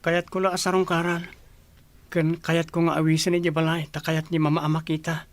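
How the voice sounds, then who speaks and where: A woman's voice: low-pitched, flat delivery, a little fast, dry recording, natural voice. A woman voicing a polite, earnest young man in an audio drama.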